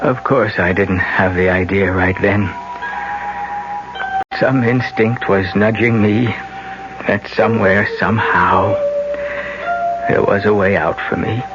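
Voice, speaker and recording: A low-voiced, scheming young son. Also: male voice, fairly even intonation, takes it slowly, pauses often. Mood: calculating narration. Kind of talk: old-time radio drama acting and confessional narration. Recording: muffled old radio, music behind.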